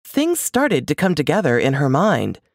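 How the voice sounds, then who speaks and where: A woman's voice: sits low, wildly swooping pitch, normal speed, gravelly, no room sound, studio quality. A woman reading scripted suspense fiction as an audiobook narrator.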